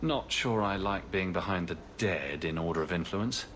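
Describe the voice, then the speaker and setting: An actor playing an earnest, supportive hero in a fantasy video game. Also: male voice, sits low, normal speed, fairly bright tone. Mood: probing, gentle.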